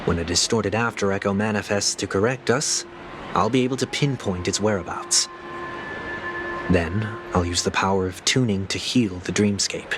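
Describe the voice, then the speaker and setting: A man's voice: lowish voice, moderate pace, background noise, lightly acted. An actor playing an earnest, composed young man in a fantasy video game, speaking formally and politely. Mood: calm, explanatory. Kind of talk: scripted video game voice acting.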